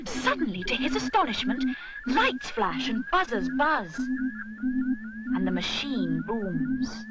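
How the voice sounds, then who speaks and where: A woman reading a children's story with expressive, dramatic narration. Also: high-pitched, slow pace, loud music behind the voice. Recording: noisy recording.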